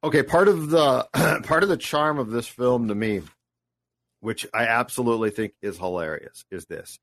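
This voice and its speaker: A man's voice: mid-range voice, moderate pace, a bit bright. A man co-hosting a podcast in a casual chat, sounding amused, rambling, and now and then putting on voices to mock. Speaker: podcast co-host.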